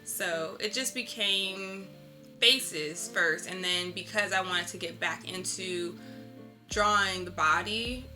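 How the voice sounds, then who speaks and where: A woman's voice: fairly low pitch, slow, music in the background. A woman being interviewed, answering casually in a candid, reflective and informal storytelling way. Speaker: interviewed artist.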